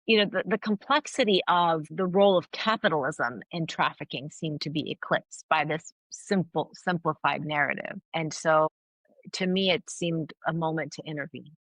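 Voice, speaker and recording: A woman's voice: deep voice, normal speed, fairly bright tone, no echo, poor audio. A middle-aged woman whose first language is Farsi, a guest giving earnest, thoughtful interview answers with frequent restarts. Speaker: guest, anthropologist and author.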